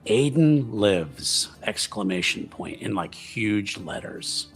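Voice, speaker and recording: A man's voice: on the low side, lively intonation, takes it slowly, faint music behind. A man chatting casually as player and host of a roleplaying livestream, with hesitant, stop-and-start phrasing. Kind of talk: casual livestream game chat.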